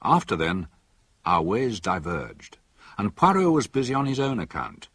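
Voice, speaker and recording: A reflective doctor narrating a radio mystery. His lowish voice is husky and close in a dry space, with very animated intonation. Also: unhurried, no echo, full character voice. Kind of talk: scripted first-person narration in radio drama.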